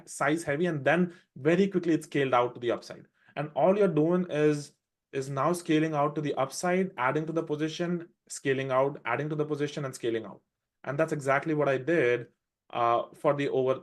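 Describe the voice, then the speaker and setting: Fast-talking Indian-accented man trader. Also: fairly high pitch. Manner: fast, animated explaining with restarts. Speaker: day trader presenting a trade recap. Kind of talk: live trading show chat.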